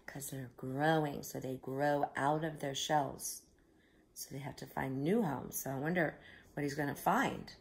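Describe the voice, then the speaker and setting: A woman reading a picture book aloud to young children, expressive, with simple explanatory asides. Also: low voice, creaky. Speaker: children's storyteller.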